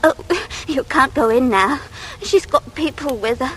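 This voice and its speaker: An actress playing a young, clinging English maid in a radio drama, with a working-class accent and a plaintive, breathless delivery. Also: female voice, high-pitched, unhurried, fairly bright tone. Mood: anxious, gossipy. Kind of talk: 1940s radio acting. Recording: noisy vintage radio recording.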